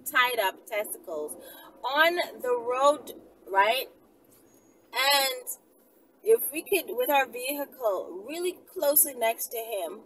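A woman's voice: on the high side, a bit bright. A woman in her thirties chatting casually and unscripted to viewers, musing aloud in a rambling way with rhetorical questions. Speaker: vlogger, channel host. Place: inside a car by a street, daytime. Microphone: camera at arm's length. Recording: distant mic, noisy car interior.

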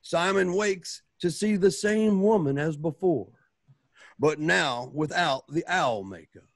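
A man playing the announcer of a Western radio serial, narrating theatrically and deliberately with swelling emphasis. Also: fairly high pitch, expressive, no echo, studio quality, heavily acted. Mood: suspenseful storytelling. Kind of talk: scripted radio drama narration.

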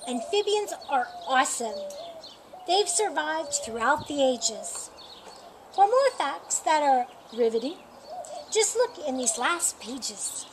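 A middle-aged woman reading a children's picture book aloud in a deliberate, expressive storytime manner. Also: high-pitched. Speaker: storytime reader. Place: outdoors in a sunny yard by a painted wall. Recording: outdoor noise with birdsong. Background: birdsong and outdoor ambience.

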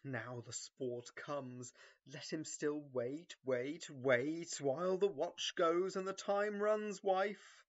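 A man's voice: a little high, fairly even intonation, slow pace, muffled recording. A man playing a foolish, jealous husband in an early modern comic audio drama, with a fussy, pompous manner. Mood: gloating.

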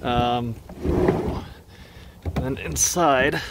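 A man in his thirties talking casually to camera as a vlogger, in a low-key, halting, matter-of-fact way. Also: fairly even intonation, creaky. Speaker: vlogger, host. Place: inside a truck, parked or in traffic. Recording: vehicle noise behind phone-recorded speech.

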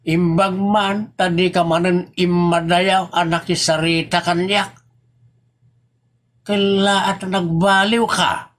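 Man playing a high-voiced, slow grumbling older husband, Ilocano accent. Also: flat delivery, fairly bright tone. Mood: flustered, suspicious. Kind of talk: Ilocano comedy audio drama acting.

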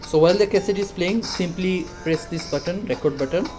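A man demonstrating a product casually, hesitant and halting, often repeating his words. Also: high voice, over music. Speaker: product reviewer.

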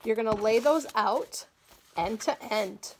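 A woman's voice: on the high side, slow pace, a little hiss or hum, low-quality recording. Woman patiently demonstrating a table-top craft. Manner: clear, patient instructional delivery. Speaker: agriculture educator. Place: small indoor room, table-top demo.

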